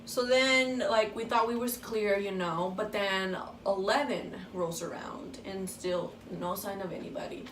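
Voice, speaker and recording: A woman's voice: medium pitch, fairly even intonation, unhurried, few pauses, a bit bright. A teenage girl chats through a story in a casual way, swearing often and acting out each line of dialogue. She sounds a little far from the mic in an echoey room, with a faint hiss behind her. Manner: casual, swears freely, acts out quoted dialogue. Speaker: vlogger.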